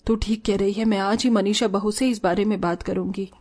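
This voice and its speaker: A woman's voice: medium pitch, steady tone, brisk, a little mellow, lightly acted. Woman as a firm, motherly mother-in-law. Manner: reproachful, motherly. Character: middle-aged mother-in-law, caring but firm and scolding. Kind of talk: cartoon family drama acting.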